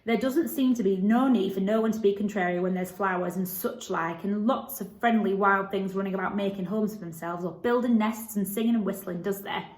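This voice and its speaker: A woman's voice: medium pitch, brisk, few pauses, a little mellow, echoey room, natural voice. A woman voicing a cheerful, hearty Yorkshire country boy of about twelve, speaking in thee-and-thou dialect for a storybook. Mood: friendly, stout.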